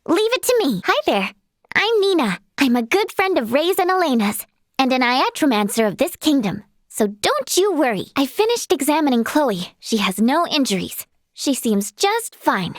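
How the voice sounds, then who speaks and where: A woman voicing a cheerful, earnest young female healer in a fantasy video game, bubbly and expressive. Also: high-pitched, moderate pace, dry recording, clean studio sound. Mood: earnest, friendly. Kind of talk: animated fantasy game character acting.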